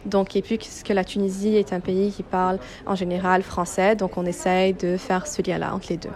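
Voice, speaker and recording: This is a young woman, speaking as a youth organization representative. She gives explanatory answers in an interview, at a middling pitch for a woman. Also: level tone, moderate pace, a little mellow. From Quebec, Canada.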